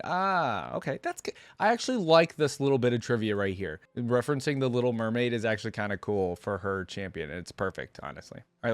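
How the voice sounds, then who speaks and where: A man's voice: mid-range voice, no echo. A man hosting a reaction video, talking informally and musing aloud as he comments and reads along.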